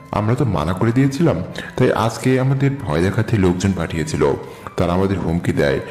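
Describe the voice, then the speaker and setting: Brief: male actor as older slum uncle, lowish voice, protective and steadfast, echoey sound with music, audio drama. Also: a little mellow. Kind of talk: audio story drama dialogue. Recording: echoey reverb with music bed.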